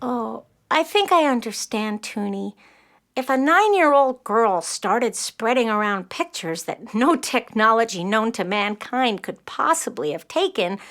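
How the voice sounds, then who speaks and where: Smart little girl about nine, kids audio play, really high n bouncy pitch, eager and earnest. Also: heavily acted. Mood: sheepish, thoughtful. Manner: excitable, earnest, articulate. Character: bright, eager, precocious nine-year-old girl stargazer. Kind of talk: children's audio play acting.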